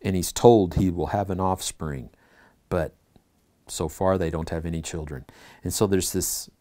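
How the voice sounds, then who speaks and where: Low, mellow-voiced man teaching Bible class. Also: a little slow. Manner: earnest, asks the class questions, reads scripture aloud. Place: church classroom.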